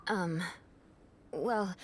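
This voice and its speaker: A woman's voice: mid-range voice, darkish tone, a little hiss or hum. Aristocratic female game knight: mid-range, coolly proud, composed, formal delivery. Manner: haughty, measured, formal wording.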